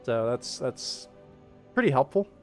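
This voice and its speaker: A man with a medium-pitched voice chats casually on a work stream. He muses aloud like a director sorting through takes, with game music playing softly in the background. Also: very animated, measured pace. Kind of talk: casual livestream commentary while working.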